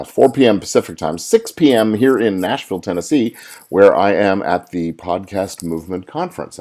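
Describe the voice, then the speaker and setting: A man's voice: sits low, a little mellow. A middle-aged man hosting his own live podcast, chatty and casual, with many fillers and restarts. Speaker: podcast host, lawyer. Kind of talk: solo live podcast talk. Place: hotel at a conference.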